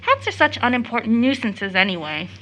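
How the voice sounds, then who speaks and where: A woman's voice: fairly high pitch, sing-song, a little fast, a bit bright, rough recording. A young American woman acting a playful, affectionate, impulsive daughter in a period audio drama, with effusive, teasing, girlish delivery. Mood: excited, teasing.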